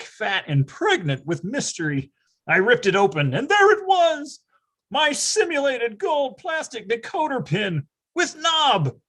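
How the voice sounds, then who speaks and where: A performer playing an excitable, grandiose narrator recalling a nine-year-old's boyhood in a theatrical readers theatre style. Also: mid-range voice. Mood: thrilled.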